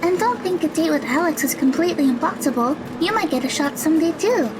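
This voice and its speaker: A woman playing a kindly, optimistic teenage high school girl in an audio drama. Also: expressive, fast, background noise. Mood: reassuring.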